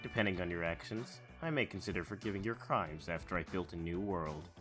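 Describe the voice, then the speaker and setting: Guy doing a deep voiced snobby rich young man, anime dub, icy and condescending, music behind. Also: brisk, lightly acted. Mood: haughty. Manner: haughty, cold, condescending. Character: arrogant, imperious young male heir. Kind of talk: anime visual novel fan dub.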